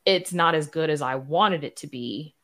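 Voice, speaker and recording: A woman with a low-pitched voice talks as a guest in a casual conversation. She is frank and self-deprecating about herself, and peppers her sentences with "you know.". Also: moderate pace, a bit bright. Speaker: podcast guest, writer and producer.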